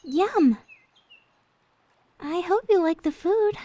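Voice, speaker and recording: A woman playing a gentle, devoted young maid in an ASMR roleplay, speaking in a soft, sweet, tender way. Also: extremely high pitch, animated, slow, no room sound, exaggerated voice. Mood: cheerful, tender. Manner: soft, sweet, tender, hesitant when confiding.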